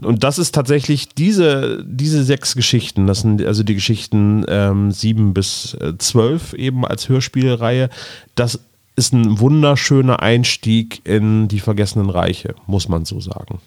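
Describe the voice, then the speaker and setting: A German man with a fairly low voice chats casually as a podcast co-host. He talks haltingly, searching for his words, in a very dry recording. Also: a little mellow, no echo. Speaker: podcast co-host reviewing audio dramas. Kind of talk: casual fan chat.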